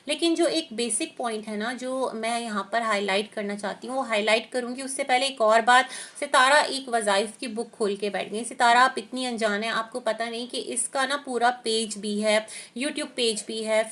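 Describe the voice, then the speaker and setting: A woman with a somewhat high voice and a sore throat gives reaction commentary. She lays out her opinions in a steady, explanatory way. Her talk is full of small hesitations as she searches for words. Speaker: reaction vlogger.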